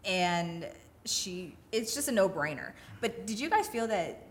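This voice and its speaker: Woman, low-pitched voice, podcast co-host, casual film talk, explanatory, faint background noise. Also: very animated, measured pace.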